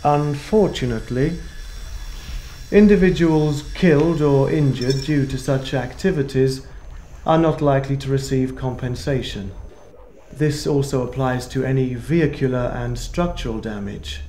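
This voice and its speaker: A man's voice: mid-range voice, mellow, a little hiss or hum, lightly acted. An actor playing a cold, formal corporate spokesman reading an unapologetic propaganda statement in a sci-fi game. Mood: cold, official. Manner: formal, measured, officious. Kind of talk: scripted video message in a sci-fi game.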